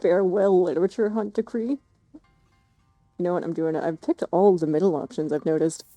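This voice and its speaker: Female game streamer; relaxed dark timbre, close dry sound, casual playful asides. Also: fairly low pitch, brisk, no room sound, soft background music. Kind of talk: casual playthrough reactions.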